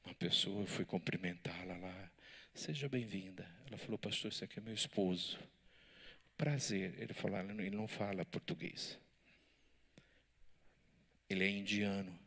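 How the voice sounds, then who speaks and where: A man, a pastor, teaching a Bible study in church with animated, lively delivery and banter with his listeners. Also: low voice, moderate pace, pauses often, slightly rough.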